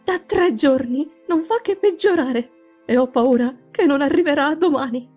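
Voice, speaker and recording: A woman's voice: high voice, normal speed, a little mellow, faint music behind, voice pushed up, close to an everyday voice. A woman voicing an anxious, deferential housekeeper in an audiobook, urgent and distressed. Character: anxious, deferential female housekeeper pleading for help.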